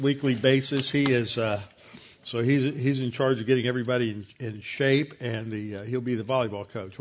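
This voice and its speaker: A man, a pastor leading a Bible class with announcements, recited verses and prayer, talking in a halting way with many fillers and restarts. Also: mid-range voice. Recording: dull lo-fi sound.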